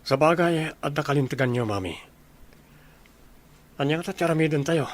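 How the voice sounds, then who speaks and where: A man's voice: on the high side, animated, brisk, close to an everyday voice. A man playing an earnest, dutiful grown son in a radio drama, with an Ilocano accent. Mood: thoughtful.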